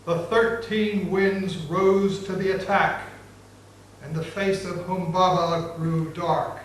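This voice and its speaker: A man narrating an ancient epic as a dramatic reading, solemn and measured. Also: sits high. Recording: very echoey, distant, noisy room recording.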